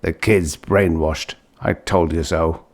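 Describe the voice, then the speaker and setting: A man's voice: deep voice, fairly even intonation, takes it slowly. A man voicing a cantankerous old grandfather in an audiobook story, scornful and suspicious, blustering and grumbling. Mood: smug.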